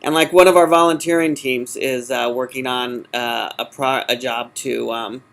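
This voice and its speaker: A man answering as a job candidate in an informal interview, halting and often correcting himself. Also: mid-range voice, steady tone, creaky, a bit bright. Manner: halting, hesitant, self-correcting. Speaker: job candidate in user experience design.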